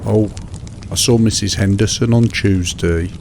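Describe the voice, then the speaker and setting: A middle-aged British man in a monotonous, soothing one-sided chat, hesitant and rambling, repeating himself over mundane small details. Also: fairly low pitch, measured pace, gravelly. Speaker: host of a sleep relaxation show. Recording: steady background noise, fireside crackle.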